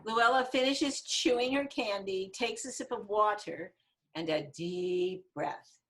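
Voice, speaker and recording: A woman's voice: mid-range voice, measured pace, a bit bright. A woman narrating stage directions from a script at a table reading.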